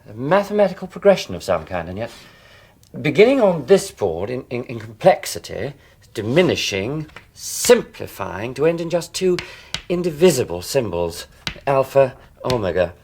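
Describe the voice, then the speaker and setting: An actor playing a thoughtful, concerned scientist in a radio drama, questioning in a measured, deliberate way. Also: male voice, mid-range voice, big pitch swings, a little mellow, slightly rough. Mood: thoughtful, puzzled. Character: probing, thoughtful scientist friend, quietly concerned. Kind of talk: radio horror drama acting.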